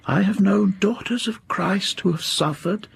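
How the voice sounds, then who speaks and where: Zealous old confessor. Slow gravelly delivery, swinging from soft coaxing to insistence, period radio play. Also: male voice, high-pitched, full character voice. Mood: probing. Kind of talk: literary audio drama acting.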